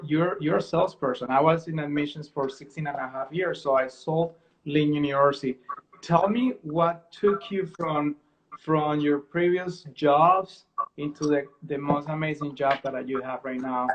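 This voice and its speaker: A man's voice: fairly high pitch, steady tone, a little slow. Man host, dull lo-fi sound. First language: Spanish. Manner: conversational, storytelling, self-correcting. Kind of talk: casual video-call interview.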